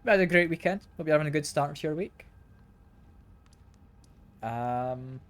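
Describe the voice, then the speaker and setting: A man's voice: on the high side, moderate pace, fairly bright tone, slight background noise. A man streaming a video game, slightly ill and congested, chatting casually and often repeating words as he plays. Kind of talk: casual gameplay commentary. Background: game music.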